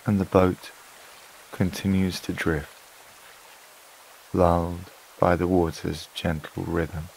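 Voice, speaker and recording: A man narrating a scripted sleep meditation in a calm, soothing, unhurried way, with long pauses between phrases. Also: sits low, creaky, a little hiss or hum, low-quality recording. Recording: water and rain sounds behind voice. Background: flowing stream, rain-like ambience.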